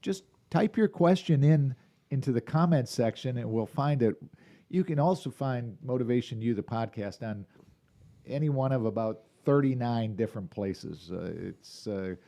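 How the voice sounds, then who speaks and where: A man's voice: medium pitch, lively intonation, moderate pace, warm tone. A man hosting a live podcast interview from a podcast room in a restaurant, chatty and rambling with restarts. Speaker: podcast host.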